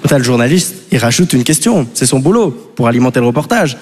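A man from Belgium with a Belgian French accent doing a live stand-up routine. He rambles casually and keeps turning to the audience with quick asides. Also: medium pitch, very animated, darkish tone. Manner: rambling and chatty, full of "tu vois" and "les gars" asides to the audience. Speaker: stand-up comedian. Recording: long hall reverb from a live stage.

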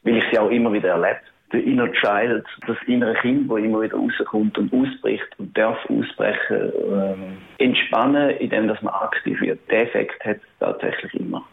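A man's voice: lowish voice, steady tone, moderate pace, vocal fry. A man from Schaffhausen with a Swiss German accent, a band musician answering interview questions in a casual, hesitant way with many fillers and restarts. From Switzerland (Schaffhausen). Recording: tinny phone-like line.

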